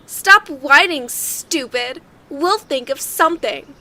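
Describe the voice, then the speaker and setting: Woman as a shrill, irritable creature. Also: expressive, slow, bright, forceful tone, slight background noise, exaggerated voice. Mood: irritated. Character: irritable, curt creature gang member. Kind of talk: audio drama acting.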